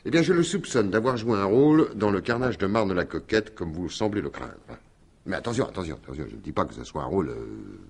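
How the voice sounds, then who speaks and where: An actor playing a wry, probing police commissioner in a French radio crime drama, theatrical and insistent, repeating words for effect. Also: male voice, sits low, sing-song, fast, husky, full character voice. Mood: soothing, probing.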